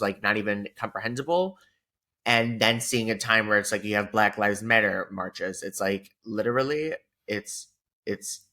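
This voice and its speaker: A man's voice: on the low side, pushed and bright. A man in his thirties co-hosting a podcast, rambling casually with lots of filler words. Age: 30s. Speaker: podcast co-host. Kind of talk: casual chat.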